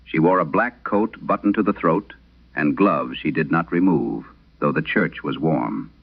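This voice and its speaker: Deep-voiced old priest played by an actor, grave and haunted. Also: male voice, lively intonation, gravelly, husky, muffled recording, full character voice. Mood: grave, somber. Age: older. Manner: solemn, measured storytelling. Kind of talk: dramatic scripted horror radio monologue.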